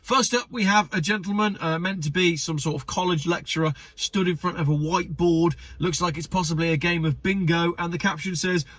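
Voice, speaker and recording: A man's voice: on the high side, fairly even intonation, fairly quick, hardly any pauses, a bit bright, no room sound. A man hosting his channel, reading memes aloud in casual commentary with wry, sarcastic asides and a slightly stuffy, nasal voice. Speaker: channel host.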